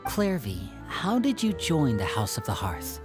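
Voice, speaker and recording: A man's voice: mid-range voice, huge pitch swings, unhurried, music in the background. A man voicing a clever, composed teenage boy magician in a video game, with a suave, coaxing manner. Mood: composed, probing.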